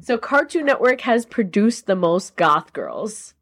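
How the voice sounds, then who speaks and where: A woman's voice: mid-range voice, sing-song, unhurried, a bit bright. A woman co-hosting a podcast, chatting casually and running through lists of shows. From New York area. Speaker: podcast co-host. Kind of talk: casual chat.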